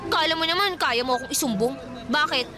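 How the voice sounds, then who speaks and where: Woman acting high-voiced, grumbly shy teenage girl. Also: fairly quick, natural voice. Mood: wary, curt. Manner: grumbling, muttering to herself. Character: shy old-fashioned fifteen-year-old provincial girl, guarded and grumbly. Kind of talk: Tagalog radio drama acting. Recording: background music, busy outdoor crowd and traffic sounds.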